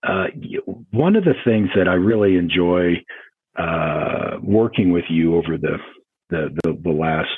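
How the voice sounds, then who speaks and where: A man hosting a podcast interview, asking questions in a halting way with many restarts. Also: sits low, expressive, slightly rough, no echo. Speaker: podcast host. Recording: tinny phone-like line.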